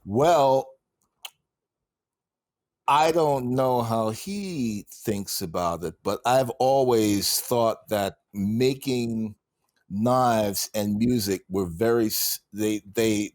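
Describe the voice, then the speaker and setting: Male podcast guest, low voice, relaxed chatty delivery, dry recording. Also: extremely slow, dry recording. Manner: relaxed, laughs easily, trails off. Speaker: guest knifemaker. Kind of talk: casual interview chat.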